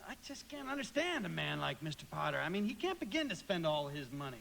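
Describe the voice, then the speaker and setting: Restless small-town young man in a radio play, folksy, creaky highish voice, slight noise. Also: big pitch swings, fast, a bit bright, full character voice. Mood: eager, restless. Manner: folksy, boyish, hesitant. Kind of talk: live radio play acting.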